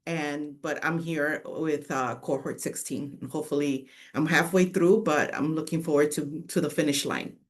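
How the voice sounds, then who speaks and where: A woman's voice: low-pitched, fairly even intonation, normal speed, few pauses. Hesitant woman sharing her story. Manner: hesitant, self-correcting, with filler words. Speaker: graduate student and mother. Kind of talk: casual personal story.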